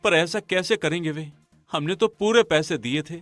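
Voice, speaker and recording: A man's voice: fairly high pitch, normal speed, a bit bright, dry recording, faint music behind, lightly acted. A man voicing an earnest, trusting young village husband in a cartoon. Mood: puzzled, worried.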